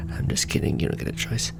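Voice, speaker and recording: A man playing a casual, wry secret-society intake clerk in a soft-spoken, half-whispered ASMR roleplay, deadpan and rambling. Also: background noise, lightly acted. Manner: deadpan, rambling, mumbling to himself over paperwork. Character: casual, wry male Illuminati recruitment clerk doing intake paperwork.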